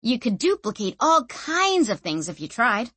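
A woman's voice: on the high side, big pitch swings, normal speed, a bit bright, breathy edge, no room sound, full character voice. A woman voicing an ancient sentient object that talks through a young woman's body in a casual, slangy, breezy way. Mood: frank, explaining. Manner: casual, slangy, matter-of-fact explaining.

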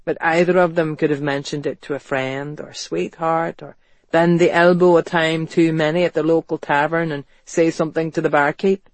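A man voicing a guarded, gruff, plain-spoken male character in a period audiobook. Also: fairly high pitch, level tone, moderate pace, no room sound, close to an everyday voice. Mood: wary, insistent. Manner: gruff, terse, wary.

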